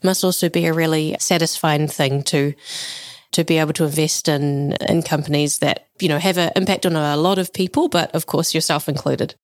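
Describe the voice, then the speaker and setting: A woman's voice: sits low, fairly even intonation, normal speed, dry recording, studio quality. A woman with a New Zealand accent co-hosting a casual, conversational interview. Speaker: interviewer, co-host.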